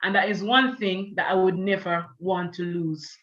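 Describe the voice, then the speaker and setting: A woman with a Jamaican accent moderates an online panel, pausing often on "you know" as she talks. Her voice comes over a video call and sounds a little muffled and dull. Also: lowish voice, steady tone. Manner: warm, with many "you know" fillers. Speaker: event host.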